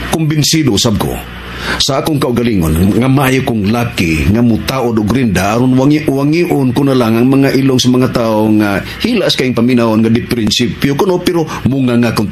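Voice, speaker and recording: Actor as animated scheming Cebuano radio drama politician. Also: male voice, mid-range voice, normal speed, a little hiss or hum, natural voice. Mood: cynical. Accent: Cebuano Filipino. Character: calculating, ambitious political schemer, commanding and cynical. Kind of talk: Filipino radio action drama acting. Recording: radio drama with music underneath.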